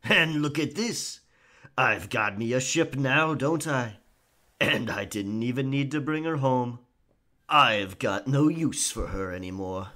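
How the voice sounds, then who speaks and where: Slow-talking roguish pirate played by a man. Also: medium pitch, lively intonation, bright, forceful tone. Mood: cocky, taunting. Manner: theatrical pirate talk, taunting, drawn-out. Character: roguish, cocky male pirate, sly and charming. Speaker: ASMR roleplay performer. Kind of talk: pirate ASMR roleplay acting.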